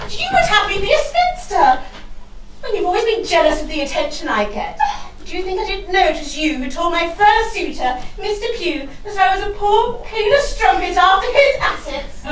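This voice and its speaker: A woman's voice: very high voice, very animated, moderate pace. A woman playing a naive, lovestruck young heroine in a rhyming costume drama, speaking in a prim, earnest, melodramatic manner. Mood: indignant. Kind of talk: theatrical rhyming costume-drama stage acting. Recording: roomy distant mic, faint noise.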